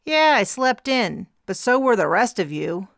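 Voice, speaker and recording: A woman voicing a weary grown man who speaks in a slow drawl. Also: medium pitch, big pitch swings, vocal fry, a bit bright, no echo, clean studio sound, voice pushed up, heavily acted. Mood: exasperated.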